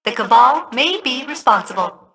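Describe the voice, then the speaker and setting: A woman's voice: on the high side, big pitch swings, normal speed, a bit bright. A woman voicing a video game ship AI, precise and polite with a sardonic undercurrent. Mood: chirpy, polite. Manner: precise, falsely cheerful, sardonic. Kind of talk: comic video game dialogue.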